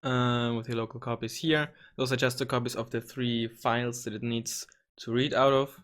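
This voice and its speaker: A slightly hoarse young man hosting a casual gaming tutorial, rambling with many ums and restarts and the odd swear word. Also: mid-range voice, little pitch movement, moderate pace, a bit bright. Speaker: gaming channel host. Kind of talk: casual screen-recorded tutorial.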